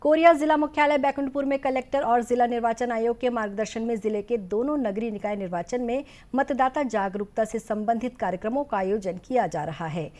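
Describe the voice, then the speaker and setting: A woman news presenter reading scripted bulletin items in a formal, measured way. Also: a little high, darkish tone.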